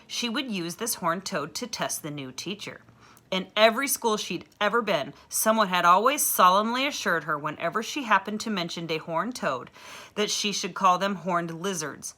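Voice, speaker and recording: A woman reading a children's novel aloud with clear, expressive storytelling. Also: lowish voice.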